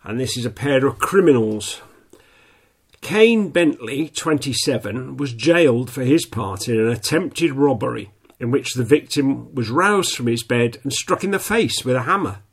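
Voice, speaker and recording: A man reading local news in a plain, steady way, a little snuffly with a stuffy nose. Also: mid-range voice, moderate pace, breathy edge. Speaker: newsreader.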